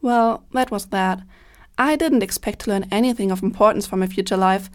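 Female student, early 20s, offhand and careless, middle pitch. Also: moderate pace. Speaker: student guest. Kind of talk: scripted panel discussion.

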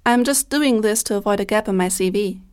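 A woman's voice: medium pitch, fairly quick, darkish tone, smooth. A woman in her twenties, a student guest on a scripted panel, talking in a careless, offhand way. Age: 20s.

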